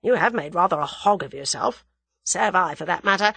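A woman voicing a sensible, wry young English man in a dramatised audiobook, with a measured, sceptical manner. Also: lowish voice, expressive, creaky, fairly bright tone, husky, dry recording, full character voice. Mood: teasing. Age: young adult.

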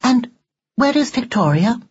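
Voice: mid-range voice, big pitch swings, unhurried, slightly rough, lo-fi sound, pitched above the performer's own voice. A storyteller voicing an antique Victorian girl doll, prim and haughty, with formal, precise and condescending speech. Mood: prim, inquisitive.

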